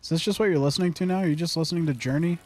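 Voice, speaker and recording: A man's voice: on the high side, racing, a little mellow, soft background music. A young man giving casual gameplay commentary, muttering to himself, humming and repeating phrases. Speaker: gaming streamer.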